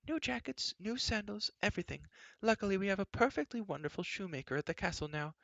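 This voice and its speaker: A man plays a stout, bustling queen who scolds and fusses without pause. Her voice climbs high above his own, with a gravelly edge, recorded close and dry. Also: animated, pushed and bright, dry recording, lo-fi sound, exaggerated voice. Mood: fussy scolding. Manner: scolding, brisk and bossy. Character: fussy bossy chattering stout queen, middle-aged woman.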